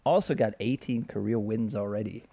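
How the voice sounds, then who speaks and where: A man's voice: lowish voice, fairly quick, creaky, warm tone, husky. A man co-commentating on a disc golf round, chatting and reading out player stats. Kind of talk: sports commentary.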